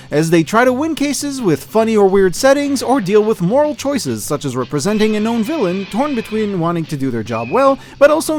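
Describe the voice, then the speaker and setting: A man narrating a scripted review with casual asides, in a conversational, emphatic way. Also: a little high. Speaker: reviewer presenting a yearly roundup. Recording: voice-over with music behind. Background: music.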